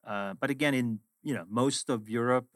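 A man's voice: mid-range voice, normal speed, no room sound, clean studio sound. A middle-aged man from Sweden with a Swedish accent, Swedish being his first language, talking as an interview guest and telling reflective stories about his work. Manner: reflective, storytelling. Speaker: guest, finance executive. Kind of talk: casual interview chat.

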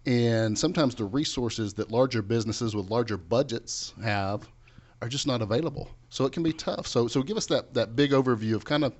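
A man's voice: mid-range voice, a little fast, a little mellow. A man hosting a conversational local business radio interview in an informal, bantering way. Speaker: radio show host.

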